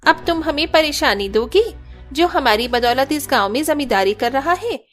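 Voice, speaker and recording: Indignant cartoon villager female actor, high voice, forceful scolding over faint music. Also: expressive, normal speed.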